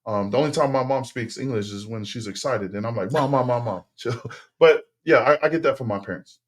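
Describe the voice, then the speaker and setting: A man's voice: medium pitch, brisk. Laid-back man, native Tongan speaker. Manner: relaxed, rambling, many fillers and restarts. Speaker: podcast guest. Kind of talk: casual interview storytelling.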